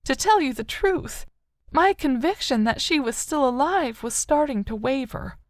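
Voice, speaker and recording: A woman narrator voicing an older mother, grieving and wistful yet still hopeful, with a soft, sorrowful, tender manner in an audiobook. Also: sits high, lively intonation, unhurried, dry recording, pitched above the performer's own voice. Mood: desperate hope.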